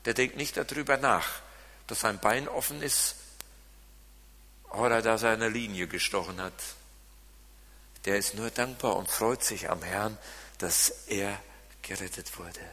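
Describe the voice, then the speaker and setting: A man with a Siegerland German accent preaching a sermon, swinging from loud emphasis to quiet asides. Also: fairly low pitch, a little slow, pauses often. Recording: long reverb of a large hall.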